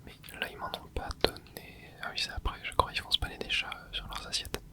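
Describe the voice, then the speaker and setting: A man hosting an ASMR channel, whispering soft, casual commentary to himself as he plays a video game, full of gamer slang. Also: a little fast. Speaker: ASMR gaming channel host.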